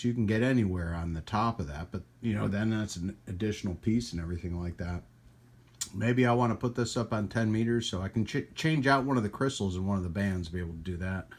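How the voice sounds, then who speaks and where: A man hosting a hobby radio channel in a casual, rambling hands-on demonstration, with folksy trailing asides and a husky voice. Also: lowish voice, a little mellow. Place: indoors at a radio workbench.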